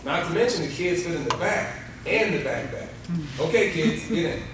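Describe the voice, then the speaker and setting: An actor playing a brisk, upbeat 1950s family father in a live radio play. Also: a little high, lively intonation, natural voice. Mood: upbeat, proud. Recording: echoey theater, distant mic, clear noise.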